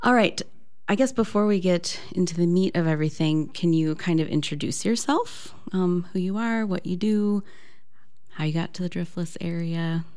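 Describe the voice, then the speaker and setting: A woman's voice: on the low side, normal speed, darkish tone, clean tone. An American woman hosting a podcast interview, chatty and casual with many filler words. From United States. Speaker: podcast host.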